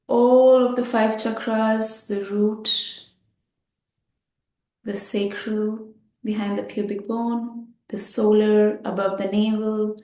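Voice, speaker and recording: Slow-paced woman guiding meditation, roomy sound. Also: mid-range voice, darkish tone. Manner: slow, unhurried phrasing with short pauses. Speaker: meditation guide. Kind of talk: guided meditation, gently instructing.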